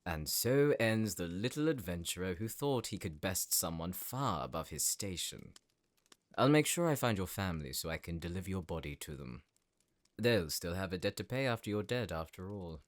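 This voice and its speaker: A man's voice: sits low, very animated, normal speed. A man playing an arrogant, cruel nobleman sorcerer with a pompous, sneering manner in an audio drama. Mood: cold gloating menace. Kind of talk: dramatic audio roleplay acting.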